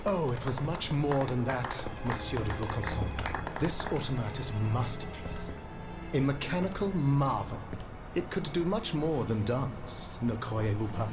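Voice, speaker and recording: A man plays a proud, scheming monarch in a game cutscene. His delivery is formal and measured, mid-pitched, with music playing clearly behind him. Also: animated, natural voice. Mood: proud, smug. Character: imperious King of France, scheming and suspicious. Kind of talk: video game cutscene acting.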